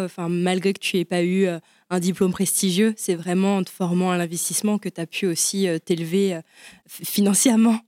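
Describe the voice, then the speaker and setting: A woman moderates a panel, asking a guest questions in an inquiring tone. Her voice is mid-pitched and plain. The recording is dry and close. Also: darkish tone, no echo. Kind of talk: interview questions.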